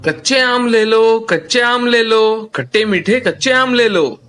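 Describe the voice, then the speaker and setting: A man's voice: extremely high pitch, expressive, a little slow, a bit bright, full character voice. A man voicing a polite, earnest, hardworking young village farmer in a cartoon story. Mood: calling out. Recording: music under the voice.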